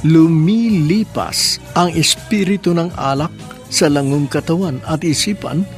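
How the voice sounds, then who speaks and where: A man's voice: on the high side, unhurried, soft background music. A man with a Filipino accent giving sentimental scripted narration for a radio drama.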